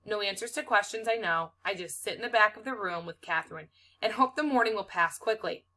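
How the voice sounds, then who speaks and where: A woman's voice: medium pitch, a little fast, sharp tone. A woman reading a children's novel aloud expressively, as a teacher does for students.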